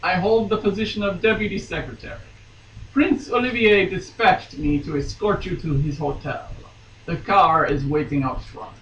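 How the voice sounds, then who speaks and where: A woman's voice: lowish voice, normal speed, natural voice. A woman voicing a stiffly formal prince who poses as a deputy secretary in a romance novel. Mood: formal, courtly. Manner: formal, courtly. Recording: dull, roomy, distant mic, faint noise.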